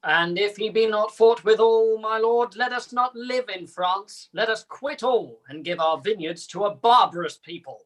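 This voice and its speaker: A woman reciting the part of a proud, scornful French noble in measured Shakespearean verse. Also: medium pitch, close to an everyday voice, poor audio.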